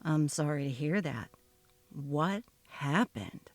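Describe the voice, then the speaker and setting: An easygoing young man played by a woman in a dramatically read story, pitched down low. He is folksy and confiding, unhurried in his talk, with faint music behind. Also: lively intonation, darkish tone, no room sound. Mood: sympathetic, casual. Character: easygoing young married man, gossipy old flame, bitter about stepsister. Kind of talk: dramatic reading of a text-message story.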